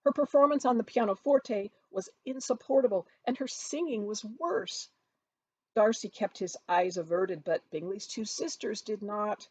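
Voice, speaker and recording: A woman reading her novel aloud as expressive storybook narration, with dramatic, emphatic phrasing. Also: mid-range voice, moderate pace, slightly rough, no room sound, rough recording. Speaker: author reading from their own novel.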